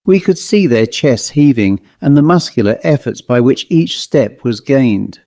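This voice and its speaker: A man narrating a Victorian ghost story as a scripted audiobook, in a measured, dramatic storytelling manner. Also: mid-range voice, expressive, warm tone, dry recording.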